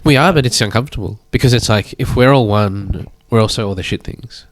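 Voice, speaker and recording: A man in his thirties, a guest speaking thoughtfully in a measured, drawn-out way. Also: on the low side, slight background noise. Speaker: guest artist. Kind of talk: reflective conversation.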